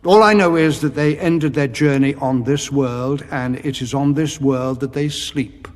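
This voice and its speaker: An actor playing a haughty alien zealot who serves ancient dark powers, speaking formally and solemnly in an audio drama. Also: male voice, medium pitch, unhurried, big-room sound, lightly acted. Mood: solemn, portentous.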